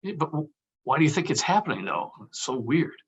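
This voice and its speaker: A man's voice: fairly high pitch, very animated, fairly quick, breathy edge, full character voice. A man playing a casual, evasive buddy in a script table read. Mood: uneasy, casual. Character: casual, evasive male buddy with a dark streak.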